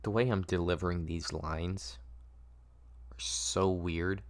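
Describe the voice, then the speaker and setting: A man's voice: sits low, steady tone, slow, dry recording. A man narrating a script at a table read, adding casual asides as he goes.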